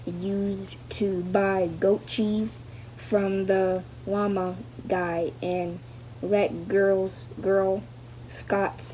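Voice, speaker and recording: A woman's voice: medium pitch, little pitch movement, drawn-out words, mellow. A woman reading a novel aloud hesitantly, stumbling over words, repeating fragments and speaking the punctuation. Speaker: learner reading a book aloud. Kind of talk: halting read-aloud of a novel. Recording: dull lo-fi sound with faint noise.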